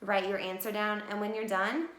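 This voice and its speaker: A woman's voice: mid-range voice, level tone, moderate pace, fairly bright tone. A woman teacher reading a picture book to young children and explaining it simply and expressively. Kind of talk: children's read-aloud and mini lesson. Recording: echoey room.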